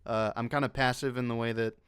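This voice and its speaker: A man's voice: medium pitch, steady tone, quick pace, a bit bright, clean tone, no echo, clean studio sound. A man talking casually on an online panel as a voice actor who directs dubs, explaining things with joking asides. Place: online panel over voice call.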